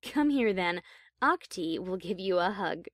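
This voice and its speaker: A woman voicing a prim, haughty high-class pony in an audio story, speaking with refined diction and dry formality. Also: expressive, a little slow, no echo. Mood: flustered, fond. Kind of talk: scripted audio story character acting.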